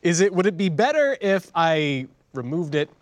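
A man's voice: on the high side, big pitch swings, normal speed, no room sound. A man bantering casually as a co-host on a podcast microphone.

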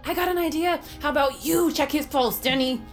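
A woman's voice: sits high, brisk, faint music behind. A woman playing a brash, sarcastic young woman in a comedy-horror audio drama, blunt and slangy. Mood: indignant, snarky.

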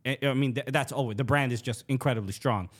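A man co-hosting a casual soccer podcast, speaking in a halting way with many restarts and fillers. He is a Spanish speaker. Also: mid-range voice. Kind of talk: casual chat.

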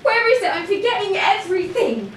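A woman's voice: extremely high pitch, sing-song, fairly quick. A woman playing a despairing, worn-out character in an audio drama, anguished, with repetitive, breaking phrases. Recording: echoey, distant room with faint noise.

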